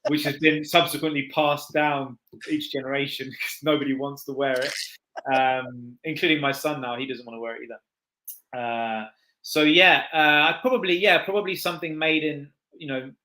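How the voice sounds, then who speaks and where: A middle-aged man with a gentle Norwich accent talks casually as a guest. His tone is bright and forward, and he laughs easily between hesitant fillers. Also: fairly high pitch, fairly even intonation, normal speed, poor audio. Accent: Norwich, England. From Norwich, England. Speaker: guest expert. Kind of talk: casual chat.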